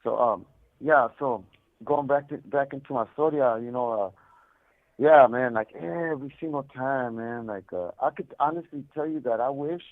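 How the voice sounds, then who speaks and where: A man's voice: medium pitch, dry recording. A man calling from prison over a phone line, talking casually and repetitively about himself, with a lot of conversational filler. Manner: conversational, repetitive, peppered with "man" and "you know". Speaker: caller, prison inmate telling his story. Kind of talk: casual, unscripted personal storytelling. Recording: tinny, band-limited prison phone line.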